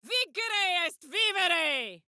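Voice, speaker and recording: A woman's voice: extremely high pitch, big pitch swings, drawn-out words, sharp tone, no echo, studio quality, full character voice. A woman playing a brash, big-hearted warrior in a fantasy video game, speaking bluntly, earnestly and expressively. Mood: battle cries.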